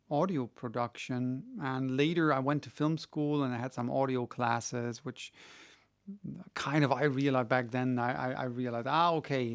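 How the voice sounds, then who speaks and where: A middle-aged man casually telling a story, rambling with many restarts and getting animated when he retells a conversation. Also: mid-range voice. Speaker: audiobook reader answering questions. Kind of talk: casual monologue.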